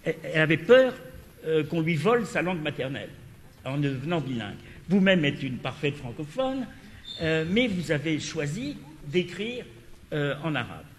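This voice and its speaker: A man's voice: a little high, big pitch swings, moderate pace, a little hiss or hum. A man moderating a literary panel discussion, asking long questions with many hesitations and fillers. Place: lecture hall. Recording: reverberant lecture hall.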